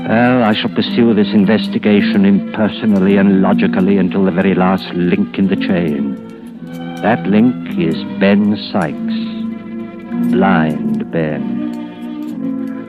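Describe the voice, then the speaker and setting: An actor playing an uneasy, earnest police detective in an old suspense radio drama, speaking in a deliberate, formal and dramatic way. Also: male voice, sits low. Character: haunted middle-aged British police detective, earnest and uneasy. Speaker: radio drama lead and narrator. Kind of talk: golden-age suspense radio acting, first-person narration. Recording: muffled old radio recording under loud music.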